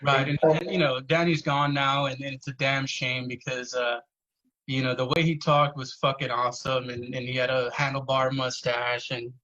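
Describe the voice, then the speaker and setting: A man's voice: mid-range voice, flat delivery, normal speed, bright, forceful tone. Earnest swearing man, dull lo-fi sound. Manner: earnest, swearing, storytelling with many "you know"s. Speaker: poet guest. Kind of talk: casual podcast conversation.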